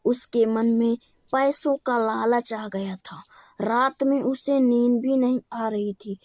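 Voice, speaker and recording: A woman's voice: fairly high pitch, fairly even intonation, takes it slowly, a little mellow, no room sound, clean studio sound. A woman narrating a scripted children's moral story.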